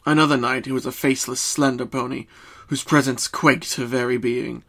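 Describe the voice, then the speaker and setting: A man reading a scripted story as narrator, in a solemn, deliberate and dramatic way. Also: medium pitch, steady tone, gravelly, a bit bright.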